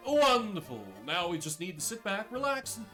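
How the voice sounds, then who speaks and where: A man's voice: high-pitched, big pitch swings, normal speed, pushed and bright, pitched above the performer's own voice, heavily acted. A man voicing a smug, delighted enemy general in a fantasy game. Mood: smugly delighted. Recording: game music behind the voice.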